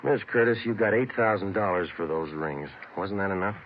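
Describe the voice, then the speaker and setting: An actor playing a terse, unflappable police detective in an old radio drama, deadpan and clipped. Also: male voice, low-pitched, a little fast, slight background noise, lightly acted. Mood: dry. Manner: deadpan, clipped, matter-of-fact. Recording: dull old radio recording.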